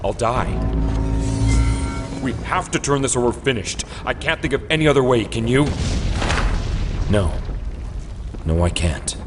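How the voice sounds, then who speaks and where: A man's voice: animated, unhurried, pauses often, over music, lightly acted. A man playing a heroic, grave scientist leader in a comic dub, earnest and urgent. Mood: grave, desperate. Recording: loud rumbling battle effects behind.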